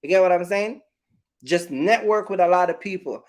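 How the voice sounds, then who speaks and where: A man with a high-pitched voice speaks straight to his viewers in an informal advice monologue. He is emphatic and repeats his points, regularly checking that listeners understand him. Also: normal speed. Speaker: trucking business YouTuber giving advice.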